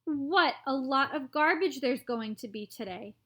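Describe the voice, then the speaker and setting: Lady doing a pompous storybook dad, huffy and blustering, slow careful talker. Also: sits high, animated, a bit bright. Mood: startled. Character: indignant, blustering well-to-do father in a storybook.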